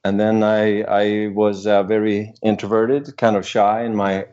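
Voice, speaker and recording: Swedish man, low voice, dull audio. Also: level tone, unhurried, darkish tone, clear, resonant tone. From Sweden. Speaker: podcast guest, voice coach. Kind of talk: casual interview talk.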